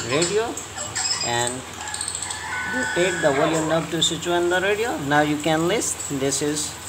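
Slow, low-voiced woman over loud noise. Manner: halting, short simple phrases. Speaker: repair tutorial presenter. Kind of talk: step-by-step hands-on instruction. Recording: loud background noise, bird and animal sounds.

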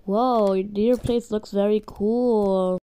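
A woman doing a loose, improvised, sweary voice-over dub for an animation, repeating phrases excitedly. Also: medium pitch, slow, smooth. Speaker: amateur voice-over dubber of an animation.

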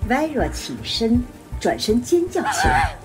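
A woman's voice: high voice, huge pitch swings, a little slow. A woman narrating a scripted radio drama as the storyteller. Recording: music behind voice.

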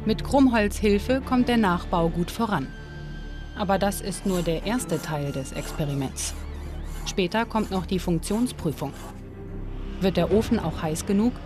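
A woman narrates a history documentary in a calm, measured voice-over. Her pitch sits in the middle range and her delivery stays steady. Clear background music plays under her voice. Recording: studio voice-over with music behind.

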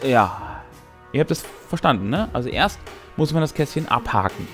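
A man in his forties hosts a tabletop role-playing show, speaking casually to the camera. His voice sits at a middle pitch and his delivery is wry and conversational. Music plays faintly behind him. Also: sing-song, moderate pace, a bit bright. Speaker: host. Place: small brick-walled game room indoors. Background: background music.

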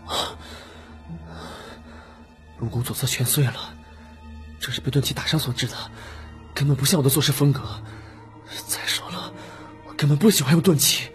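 A man's voice: medium pitch, normal speed, long pauses, voice pushed up, natural voice. A man voicing an unstable, haunted young man who frantically denies his guilt in an audiobook, muttering and repeating himself. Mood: relieved, self-convincing. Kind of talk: audiobook character acting. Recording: dramatic music behind the voice.

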